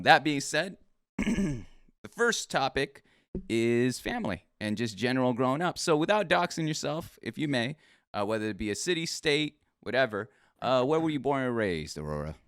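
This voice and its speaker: A man hosts a laid-back interview and chats in a rambling, friendly way, stopping and restarting as he thinks aloud. His voice sits low but moves a lot. The sound is smooth and dry, close to the mic. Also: a bit bright, dry recording. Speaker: podcast host. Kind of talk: casual interview.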